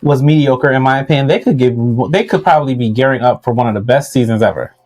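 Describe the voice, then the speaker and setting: A man's voice: mid-range voice, fast talker, a little mellow. Blunt, colloquial man in his thirties. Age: 30s. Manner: colloquial, blunt, uses slangy interjections like "child". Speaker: podcast co-host. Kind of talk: casual opinionated panel chat.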